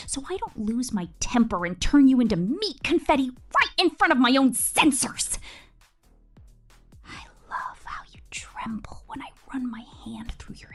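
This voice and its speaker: A woman voicing a cruel, possessive killer robot captor in a menacing, taunting ASMR roleplay, mostly in a breathy whisper. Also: on the high side, darkish tone. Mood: possessive menace. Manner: menacing, taunting, slow and deliberate.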